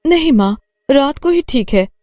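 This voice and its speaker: A man's voice: extremely high pitch, lively intonation, normal speed, a little mellow, no room sound, clean studio sound, voice pushed up. A man voicing a dutiful, reassuring young daughter in a cartoon story.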